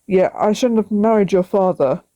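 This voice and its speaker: A woman's voice: medium pitch, normal speed, mellow, no room sound, close to an everyday voice. A woman voicing a cruel, scornful stepmother dragon in a read-aloud storybook.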